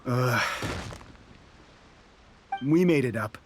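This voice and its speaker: An actor playing a proud, bitter resistance soldier in a video game, impassioned and challenging. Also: male voice, mid-range voice, animated, drawn-out words, slight background noise. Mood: confiding. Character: defiant, proud resistance soldier, bitter and battle-haunted man. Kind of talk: English video game voice acting. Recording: faint background music. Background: faint game music.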